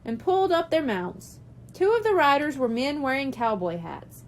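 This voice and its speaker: A woman's voice: sits high, sing-song, normal speed, slight background noise, low-quality recording. A woman reading a children's storybook aloud as scripted narration. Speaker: audiobook reader.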